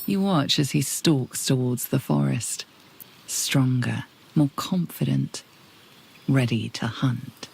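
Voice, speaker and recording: A woman playing an intimate, hushed narrator in a video game, giving scripted dramatic second-person narration. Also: very deep voice, slow pace, vocal fry, slightly rough, full character voice. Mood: measured, ominous.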